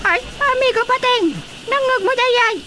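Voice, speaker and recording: High, scolding cartoonish talking fish. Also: female voice, a little slow, pushed and bright, full character voice. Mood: animated. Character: high-voiced talking sea fish, grumbling to its friend. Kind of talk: radio drama comedy acting. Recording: clearly noisy recording.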